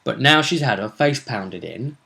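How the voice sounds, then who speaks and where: A man's voice: mid-range voice, animated, moderate pace, gravelly, sharp tone. A man, hosting a vidcast and giving a chatty, casual recap of a tabletop role-play tournament. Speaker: vidcast host.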